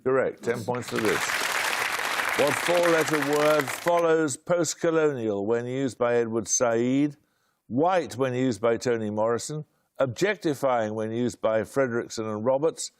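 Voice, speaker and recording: A man hosting a quiz show, reading out questions in a brisk, precise way with dry, wry humour. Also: mid-range voice, some chatter behind.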